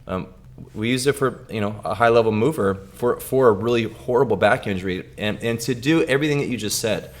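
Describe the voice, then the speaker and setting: A man co-hosting a podcast, telling a patient story in a halting way with many repeated words. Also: lowish voice. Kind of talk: casual clinical storytelling.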